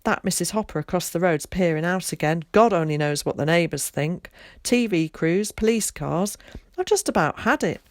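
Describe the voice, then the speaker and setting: A woman reading an audiobook, voicing a frantic middle-aged mother, scolding in a high, breathless rush. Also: sing-song, moderate pace, hardly any pauses, no echo, clean studio sound.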